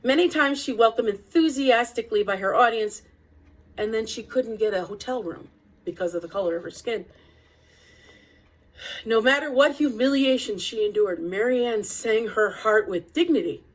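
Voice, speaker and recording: A woman's voice: fairly high pitch, lively intonation, normal speed, poor audio. A woman reading a children's picture-book biography aloud as storytelling narration, with an occasional small stumble.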